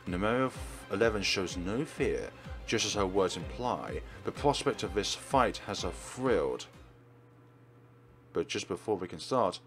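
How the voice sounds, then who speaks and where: Male let's player, lower register, expressive story narration over prominent game music. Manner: expressive reading, acts out dialogue. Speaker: let's player reading a visual novel aloud. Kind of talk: narration read from on-screen text.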